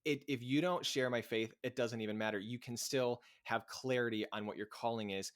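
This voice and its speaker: An excitable young man chatting as a podcast guest, with a mid-range voice. He talks eagerly and rambles, laughs between thoughts and leans on "like" a lot. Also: mid-range voice, a little fast. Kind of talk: casual podcast interview.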